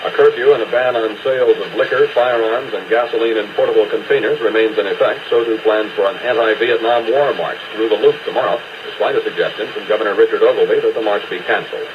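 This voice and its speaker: Brisk American newsman on old radio. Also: lowish voice. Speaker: radio news anchor. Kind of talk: scripted 1960s network radio newscast. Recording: muffled noisy 1969 radio cassette recording.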